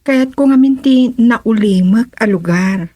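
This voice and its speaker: A woman's voice: a little high, slow pace, mellow, no room sound. An actress playing a composed, self-assured visiting lady in an Ilocano radio comedy drama, with an Ilocano accent. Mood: businesslike.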